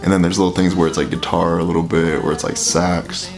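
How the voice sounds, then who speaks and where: A man with a very deep voice giving casual, filler-heavy commentary while reacting to a song. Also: little pitch movement, brisk, creaky. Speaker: reaction video host. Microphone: headphones with mic. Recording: music playing under the voice. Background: music playing.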